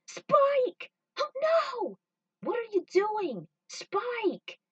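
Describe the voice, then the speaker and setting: A woman voicing a desperate young heroine in a story, with high, breathy, urgent cries. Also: wildly swooping pitch, very slow, dry recording, recorded from a distance, highs cut off, heavily acted. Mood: anguished. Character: desperate young heroine crying out to her beloved.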